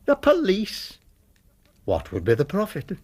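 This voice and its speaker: An actor with an English accent playing a smug Victorian blackmailer in a radio drama, mock-polite, unctuous and quietly menacing. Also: male voice, high-pitched, wildly swooping pitch, exaggerated voice. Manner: unctuous, mock-polite, mannered drawn-out exclamations. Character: smug, oily male Victorian blackmailer, falsely courteous and menacing.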